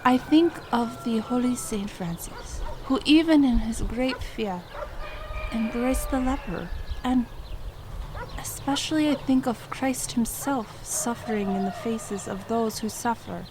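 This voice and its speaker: An actress plays a compassionate young queen in a medieval audio drama. Her fairly high voice is slow, earnest and resolute, with clear animal and outdoor sounds behind. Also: noisy background, lightly acted. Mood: tender, concerned. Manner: earnest, formal, theatrical.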